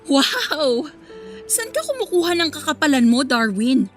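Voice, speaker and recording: Radio drama role: betrayed young woman, high-pitched, biting sarcasm, soft music behind. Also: very animated, a little slow. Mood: angry, incredulous. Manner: sarcastic, cutting. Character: hurt, sarcastic young woman confronting cheating boyfriend. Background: faint background music.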